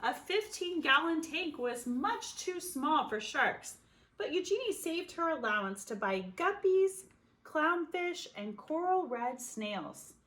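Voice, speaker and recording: A woman reading a children's picture book aloud expressively, with dramatic pauses and emphasis. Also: high voice, fairly bright tone. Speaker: storybook reader.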